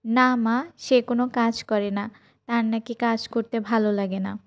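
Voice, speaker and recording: A woman's voice: on the high side, fairly even intonation, normal speed, natural voice. A woman playing a worried, dutiful village wife in a cartoon, plaintive and pleading. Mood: respectful, troubled. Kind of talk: cartoon voice acting.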